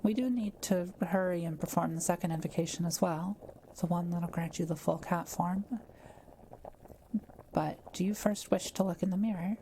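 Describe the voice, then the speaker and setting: A woman playing a kind forest witch in a roleplay audio drama, speaking softly, carefully and patiently to the listener. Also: on the low side, fairly even intonation, normal speed, a little hiss or hum, lightly acted, poor audio. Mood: caring, attentive. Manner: soft-spoken, careful, patient explaining. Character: kind, quiet-living forest witch, gently reassuring.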